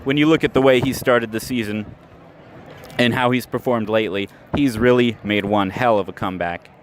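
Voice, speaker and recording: A man doing radio play-by-play of a baseball game, giving a measured call with stats between pitches, recorded live at an outdoor ballpark. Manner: steady, measured call with stats between pitches, rising sharply on big plays. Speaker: play-by-play announcer. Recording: live ballpark recording with clear crowd noise.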